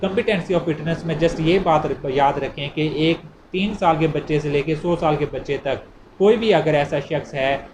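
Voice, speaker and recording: A man's voice: on the high side, measured pace. A man with a Pakistani accent giving an informal explanatory law lecture in a didactic way, repeating points as he goes. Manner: didactic, repeats points, poses and answers questions. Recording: clearly noisy amateur recording.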